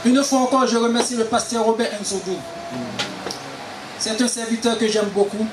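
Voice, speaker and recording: Prédicateur haïtien à la voix très haut perchée. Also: male voice, extremely high pitch, steady tone, normal speed, reverberant, music in the background, poor audio. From Haiti. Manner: exhortatory, punctuates phrases with amens. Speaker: guest preacher. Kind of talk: sermon with call and response.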